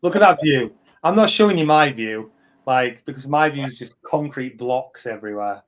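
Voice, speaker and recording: A man's voice: mid-range voice, animated, moderate pace. A man in his thirties, hosting a live community chat as a company head, talking bluntly and rambling with lots of fillers and swearing. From England. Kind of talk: casual chat.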